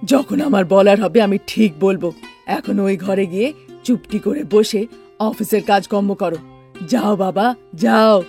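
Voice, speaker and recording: A commanding middle-aged mother-in-law from a cartoon drama, put on by a woman. She is curt and bossy in a dark, medium-pitched voice, over faint music. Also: measured pace, vocal fry. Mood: dismissive.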